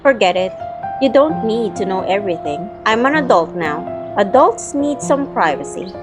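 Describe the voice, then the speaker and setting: A woman's voice: medium pitch, animated, moderate pace, lightly acted. A young woman playing a spirited, teasing childhood friend in an audio drama, telling the story in her own words and acting out playful banter. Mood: mildly annoyed. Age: young adult. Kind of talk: audio drama narration and dialogue. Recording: music playing behind the voice.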